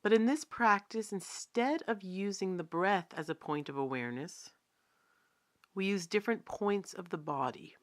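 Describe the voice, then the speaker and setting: A woman meditation teacher guiding a body scan in a calm, unhurried, gently instructive manner, leaving long pauses. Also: medium pitch.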